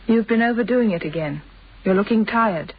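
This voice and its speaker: An actress playing an affectionate, teasing British wife with a breathy voice in a radio drama. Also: female voice, medium pitch, moderate pace. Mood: concerned, mild. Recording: dull, muffled radio drama recording.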